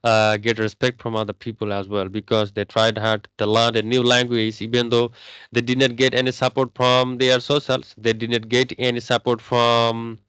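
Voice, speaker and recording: Man, smooth and close. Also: mid-range voice, monotone, fairly bright tone, no room sound, low-quality recording. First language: Bengali. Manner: halting, many restarts and fillers. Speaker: English learner. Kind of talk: casual English practice chat.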